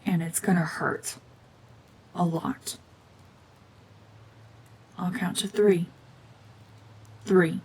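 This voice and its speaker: A woman acting a cold, domineering mutant witch in an ASMR roleplay drama, hushed and often whispering, with terse, guarded commands. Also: on the low side, steady tone, drawn-out words, long pauses, breathy edge, full character voice. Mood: cold, controlled. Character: renegade mutant cyborg gorgon witch, female, cold, domineering, slowly softening.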